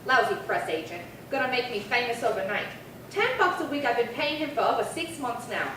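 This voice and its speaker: A woman's voice: a little high, expressive, brisk, a bit bright. A woman playing a brash, slangy, struggling model in a 1950s radio crime drama, sassy and full of complaints. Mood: breezy, griping. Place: live theatre stage reading. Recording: echoey hall, distant mic, faint noise.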